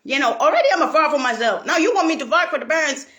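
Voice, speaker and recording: A woman from Italy, rambling in a profane monologue, laughing and bursting into shouts. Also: high voice, animated, racing.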